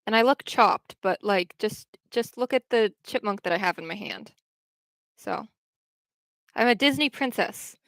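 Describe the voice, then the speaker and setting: A woman's voice: medium pitch, lively intonation, a little slow, fairly bright tone, no echo. A young woman casually chatting on a livestream, answering viewers' questions in a rambling way full of restarts and fillers. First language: English.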